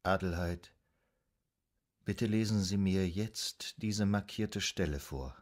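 An actor in a German radio drama playing an earnest, troubled male psychoanalyst in 1920s Vienna, speaking in a measured way. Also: low-pitched. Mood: calm probing.

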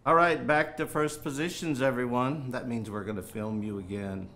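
A man's voice: medium pitch, moderate pace, fairly bright tone, a little hiss or hum, close to an everyday voice. An actor playing a pompous, tyrannical film director, theatrical and condescending as he barks orders in a comedy. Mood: bossy.

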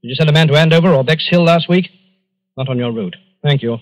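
An actor playing a brisk, businesslike Scotland Yard inspector in an old radio drama, clipped and authoritative. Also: male voice, a little high, close to an everyday voice. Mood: brisk, questioning. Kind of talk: 1940s radio drama acting. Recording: muffled old radio recording.

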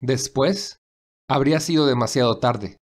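A man's voice: medium pitch, measured pace, close to an everyday voice. A man playing a commanding, gallant young family patriarch in an audio story. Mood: angry, firm. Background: classical music.

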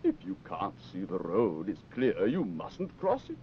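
Grumpy, swooping talking parcel. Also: male voice, fairly low pitch, wildly swooping pitch, unhurried, a little hiss or hum, heavily acted. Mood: scolding. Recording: dull 1960s television film soundtrack, music.

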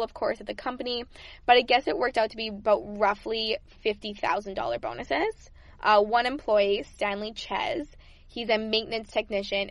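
A woman's voice: medium pitch, dry recording. A young woman hosting a podcast, sharing good-news stories in a chatty, upbeat way with frequent laughs. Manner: chatty and upbeat, laughs often, repeats words. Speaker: podcast host. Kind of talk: casual good-news chat.

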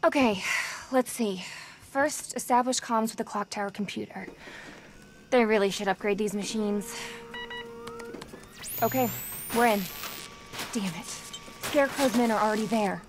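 A woman playing a determined, capable young computer expert in a video game, brisk and earnest. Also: mid-range voice, lightly acted. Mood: earnest, urgent. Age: young adult. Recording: clear ambient and vehicle noise behind.

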